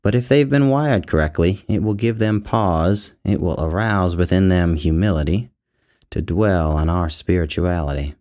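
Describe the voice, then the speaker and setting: Deep-voiced man reading literary fiction. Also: moderate pace, a little mellow. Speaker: author narrating his own short story. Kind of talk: literary short story narration.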